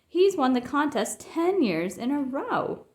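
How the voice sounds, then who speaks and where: A woman's voice: sits high, sing-song, measured pace, pitched above the performer's own voice. A woman voicing a young boy bear cub in a storybook, earnest, doubtful and tentative.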